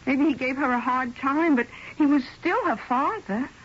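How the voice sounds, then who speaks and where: A woman's voice: high-pitched, moderate pace, lightly acted. An actress playing a guileless young woman who talks in a casual, hesitant, girlish way in an old-time radio drama. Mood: uneasy, earnest. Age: young adult. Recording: dull, tinny old radio recording.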